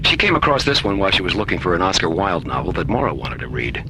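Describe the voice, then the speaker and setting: A man's voice: expressive, fast. A man playing a bookish spaceship crewman in a science-fiction radio drama, conversationally relishing a mystery tale. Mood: matter-of-fact. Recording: rumbling vehicle noise throughout.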